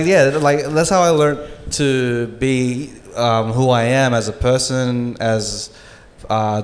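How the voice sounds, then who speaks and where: A man co-hosting a live podcast on a conference stage, telling stories in a loose, laughing way with lots of filler words. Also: on the low side. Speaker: podcast co-host. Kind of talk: casual live panel chat.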